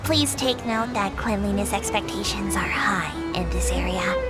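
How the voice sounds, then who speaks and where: A woman voicing a small, earnest female creature tour guide in a video game, making polite, formal, measured announcements. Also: medium pitch, natural voice. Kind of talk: video game character voice acting. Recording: loud music over the voice.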